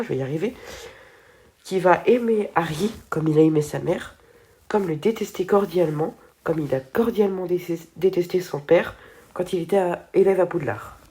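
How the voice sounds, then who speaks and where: A woman's voice: low-pitched, mellow. A teenage girl with a stuffy head cold, chatting casually through a film video and tripping over her words. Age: teens.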